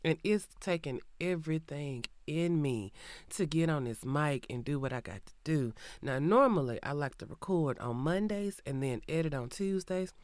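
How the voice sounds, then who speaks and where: A woman's voice: sits low, animated, normal speed, darkish tone, no echo. Sassy Southern woman with a cold. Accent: Southern American. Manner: sassy, chatty, full of Southern slang. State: sick with a cold, slightly nasal, coughing. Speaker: podcast host. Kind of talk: casual true crime podcast intro. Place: recording booth.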